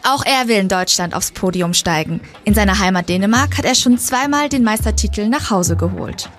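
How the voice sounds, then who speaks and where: A woman narrates a scripted news report as a voice-over, evenly paced and smooth. Her pitch sits in the middle range. A faint music bed runs beneath her. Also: brisk. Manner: smooth, even voice-over delivery.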